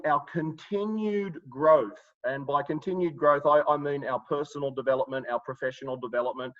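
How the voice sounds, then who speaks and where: A man's voice: fairly high pitch, fairly bright tone, lo-fi sound. Upbeat Australian man hosting a webinar. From Sydney, Australia. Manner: filler-heavy, restarts often, upbeat and encouraging. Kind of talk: live webinar talk.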